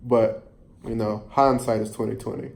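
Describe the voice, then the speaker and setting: A man telling a personal story casually, in a halting, rambling way, often rephrasing and repeating himself. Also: lowish voice, flat delivery, creaky, darkish tone, slight background noise. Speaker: storyteller vlogger.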